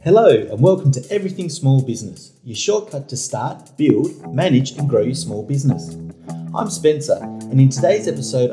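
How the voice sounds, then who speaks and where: A man hosting a podcast, asking questions in a friendly, relaxed way. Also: mid-range voice. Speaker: podcast host. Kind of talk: podcast interview. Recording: faint guitar music behind.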